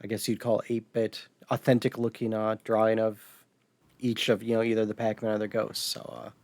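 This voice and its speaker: An American man chatting casually as a podcast host, somewhat halting when he describes things. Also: on the low side, monotone, a little mellow, dry recording. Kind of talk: casual podcast chat about retro gaming.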